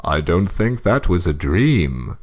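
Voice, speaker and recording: A man's voice: low-pitched, very animated, takes it slowly, darkish tone, heavily acted. A man playing a pompous, fussy old husband reading a horror story aloud for comedy. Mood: dramatic reading. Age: older.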